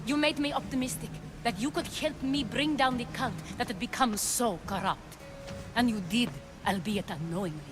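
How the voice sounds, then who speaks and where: An actress as a calculating, composed woman of secret power in a game drama. Her voice is fairly high and measured, with music clearly behind it. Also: close to an everyday voice. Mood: persuasive. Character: poised, calculating woman, former secret cult leader. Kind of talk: dramatic video game cutscene dialogue.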